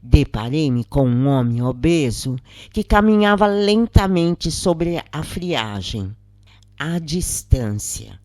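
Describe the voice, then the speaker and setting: A Brazilian narrator reading a scripted first-person spiritual account in a measured, solemn way, in short phrases. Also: medium pitch, a little mellow, no echo, studio quality.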